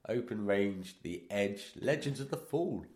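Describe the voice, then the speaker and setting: A man with a cold co-hosting a podcast, joking, teasing and reading aloud haltingly. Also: on the low side, expressive, darkish tone, reverberant. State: has a cold. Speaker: podcast co-host. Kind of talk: casual banter.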